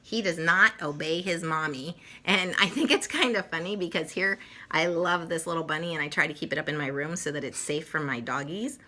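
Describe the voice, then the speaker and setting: A woman reading a children's story aloud in an expressive way, with dramatic emphasis for young listeners. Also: sits low, brisk. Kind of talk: children's storybook read-aloud with informal introduction.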